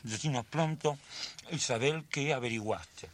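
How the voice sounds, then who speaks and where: An actor playing a suspicious, anxious grown son in a radio drama, with a Rioplatense Argentine accent and a hesitant, repetitive way of talking. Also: male voice, medium pitch, unhurried, fairly bright tone, slight background noise, lightly acted. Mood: intrigued.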